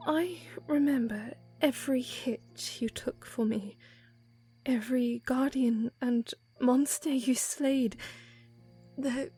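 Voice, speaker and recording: Actress as young princess haunted by loss: high voice, hushed and halting delivery, music underneath, audio drama. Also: female voice, fairly even intonation. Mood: grieving. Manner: halting, hushed, formal wording. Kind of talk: fan audio drama acting.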